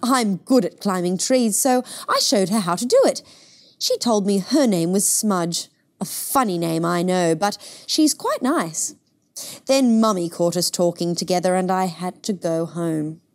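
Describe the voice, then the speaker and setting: A woman's voice: on the low side, expressive, a little slow. A woman voicing a timid, polite, lonely young boy in a picture book, wistful and earnest. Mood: shyly delighted. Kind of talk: picture book read-aloud.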